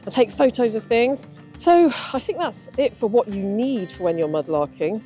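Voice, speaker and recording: A woman's voice: fairly high pitch, lively intonation, normal speed, warm tone, soft background music. A woman chatting casually and enthusiastically outdoors on a river foreshore, as a guide to her hobby. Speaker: mudlarker and artist, guest guide.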